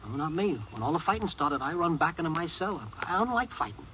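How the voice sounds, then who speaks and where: A nervous jailbird from a vintage radio crime drama. His voice is bright and swings up and down as he stammers and pleads in rough, folksy speech. The old recording carries faint noise. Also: a little high, expressive, fast talker, rough recording. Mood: nervous, pleading. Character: jittery, cowardly jailed convict pleading and bargaining. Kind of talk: 1950s radio drama acting.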